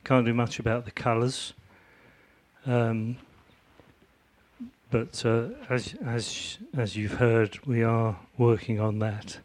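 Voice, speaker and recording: A man's voice: medium pitch, level tone, takes it slowly, a little mellow. A man speaking up from the audience at a community meeting, hesitant with many fillers. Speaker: audience member, volunteer who built the website. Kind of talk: meeting discussion.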